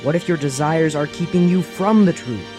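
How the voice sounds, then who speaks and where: A man's voice: a little high, normal speed, music in the background, lightly acted. A man playing a cautious, earnest young traveler in a fantasy audio drama, speaking formally as an anxious protector. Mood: urgent, pleading.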